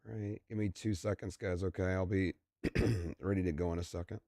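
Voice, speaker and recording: A young man chatting casually on a live stream, rambling and stammering through fillers while his voice is going and he keeps clearing his throat. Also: deep voice, steady tone, fairly quick, mellow, dry recording, studio quality.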